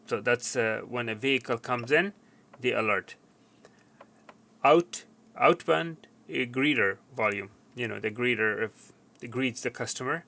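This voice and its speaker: A man presents an informal technical how-to in a mid-pitched, clearly bright voice. He explains things step by step, with a slightly halting delivery as he instructs. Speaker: technician giving tutorial.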